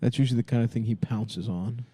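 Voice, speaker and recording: A man's voice: medium pitch, fast talker, dark tone, husky, no room sound, clean studio sound. A man in casual joking chat, chiming in and teasing as he goes. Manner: interjecting, teasing. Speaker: comedy podcast host.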